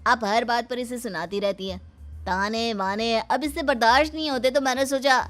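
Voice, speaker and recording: A woman voicing a cocky, teasing young man who poses as a boyfriend in an animated moral story. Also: on the high side, normal speed, close to an everyday voice. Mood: challenging. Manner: brash, teasing, provocative.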